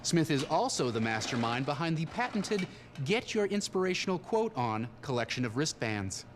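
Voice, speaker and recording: Serious tv reporter narration, deadpan but very up and down, slightly high voice, faint music. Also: male voice, background noise. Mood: earnest. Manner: formal, measured, deadpan. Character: earnest male TV newsmagazine reporter in a spoof. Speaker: reporter and interviewer. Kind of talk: scripted news narration.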